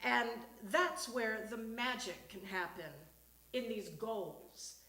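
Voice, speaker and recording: High, bright-voiced woman performing a monologue. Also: takes it slowly. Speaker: reader at a live storytelling show. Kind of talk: performing a comic personal monologue. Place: small theatre stage. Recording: echoey live stage recording.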